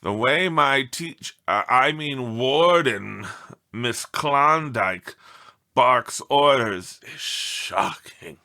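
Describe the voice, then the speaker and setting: A man plays a melodramatic storybook dog, indignant and sorry for himself. The delivery swings widely in pitch, slow and drawn out, with a bright, forceful edge. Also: lively intonation, full character voice. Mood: put-upon, dramatic. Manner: theatrical, indignant, self-pitying. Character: aggrieved melodramatic pet dog writing pleading letters home. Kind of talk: expressive storybook read-aloud.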